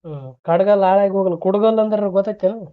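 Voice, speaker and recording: A man's voice: high voice, steady tone, fast. A man with a North Karnataka accent on a phone call, needling and scolding as he repeats his questions in casual banter. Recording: noisy, band-limited phone call recording.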